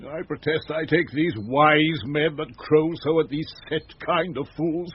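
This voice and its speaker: An actor playing a self-important, scornful household steward in radio Shakespeare, with a pompous, disdainful delivery. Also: male voice, on the high side, fairly even intonation, measured pace, natural voice. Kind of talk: 1970s radio Shakespeare acting. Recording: dull, hissy vintage radio recording.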